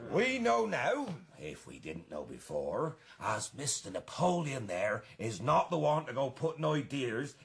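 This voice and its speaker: Gravelly, blustering farmer. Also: male voice, medium pitch, fairly bright tone, heavily acted. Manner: blustering, rambling after-dinner speaker. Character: bluff, self-satisfied rustic English farmer. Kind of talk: radio drama acting.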